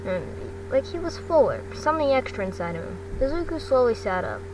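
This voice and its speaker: A woman narrating a story in an amateur audiobook style, with a halting delivery full of stumbles and self-corrections. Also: high voice, big pitch swings, distant mic, background noise. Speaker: fan fiction reader.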